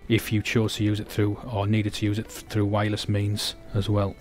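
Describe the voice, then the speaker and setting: A man's voice: on the low side, monotone, a little fast, a little mellow, faint music behind. An English man giving a technical product demonstration, explaining steadily with frequent restarts.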